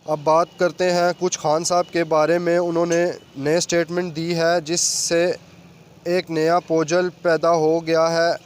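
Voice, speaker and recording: High-pitched, flat-toned male news commentator. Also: unhurried, a little hiss or hum. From Pakistan.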